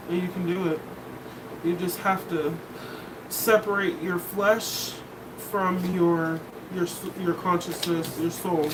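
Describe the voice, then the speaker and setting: Brief: deep-voiced woman tarot reader, blunt, unhurried delivery, mic set back with noise behind her. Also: distant mic. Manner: blunt and candid, swears, laughs between points. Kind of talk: casual collective tarot reading.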